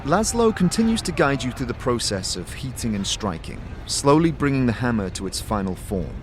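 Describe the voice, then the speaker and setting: A man's voice: medium pitch, big pitch swings, normal speed, slight background noise. A man giving dramatic, scripted second-person narration for a fantasy audio drama.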